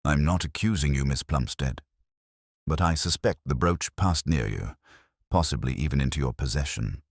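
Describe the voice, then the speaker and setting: A shrewd, unhurried police inspector from a mystery story, played by a man. He speaks gently and deliberately in a very deep voice, recorded dry. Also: vocal fry, dry recording, heavily acted. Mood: probing.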